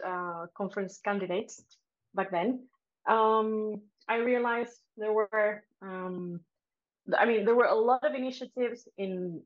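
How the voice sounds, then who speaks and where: Halting woman, slightly muffled. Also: medium pitch. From Argentina. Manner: halting, searching for words in English. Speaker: board member, guest. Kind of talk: podcast interview.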